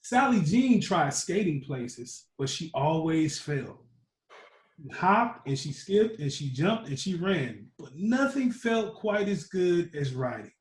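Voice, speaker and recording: A man with a slightly high voice reads a children's picture book aloud. He tells it in an animated, emphatic way. The room gives his voice a noticeable echo. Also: takes it slowly. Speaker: storytime reader. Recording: roomy echo.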